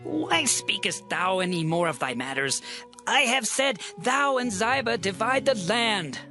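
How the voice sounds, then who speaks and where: A man's voice: sits high, sing-song, a little slow, sharp tone, faint music behind, exaggerated voice. An actor playing a grave, commanding king in a dramatized scripture, with declamatory, authoritative delivery. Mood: stern.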